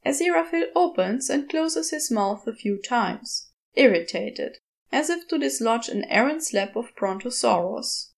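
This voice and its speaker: A woman narrates a piece of scripted fiction. Her voice is fairly high, and she reads at a very unhurried pace. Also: lively intonation, vocal fry. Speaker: audiobook narrator.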